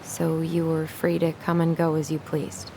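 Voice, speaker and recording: A woman's voice: low voice, completely flat delivery, normal speed, dark tone, a little hiss or hum. A woman playing a caring, wry young sister in naturalistic, conversational video game dialogue. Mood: hesitant. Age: young adult. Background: game music.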